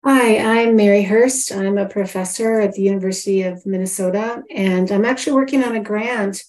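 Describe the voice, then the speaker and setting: Mellow-voiced woman professor. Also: medium pitch, a little fast, poor audio. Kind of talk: self-introduction on a call.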